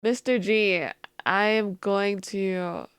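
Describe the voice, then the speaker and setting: A woman's voice: medium pitch, steady tone, slow, clear, resonant tone, no echo, clean studio sound. A woman streaming live who says she just woke up and has a sleepy voice, chatting casually and thanking her viewers.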